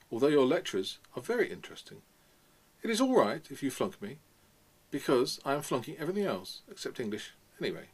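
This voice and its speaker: A man's voice: mid-range voice, a little mellow, breathy edge, voice pushed up. A man voicing a sarcastic old male history teacher, lecturing and mocking, raising his voice for the part.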